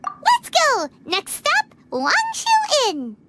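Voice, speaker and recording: A woman voicing a tiny, childlike girl companion in a video game, squeaky, excitable and cheeky. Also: very slow, very noisy, heavily acted. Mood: cheerful. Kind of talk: video game cutscene acting.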